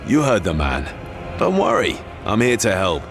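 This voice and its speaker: A young warrior hero for a game cutscene, blunt and practical. His voice sits a little low, and his delivery is plain and dry. Faint game music plays behind him. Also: very animated, normal speed. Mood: determined. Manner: plain, blunt, dryly direct. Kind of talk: video game cutscene voice acting.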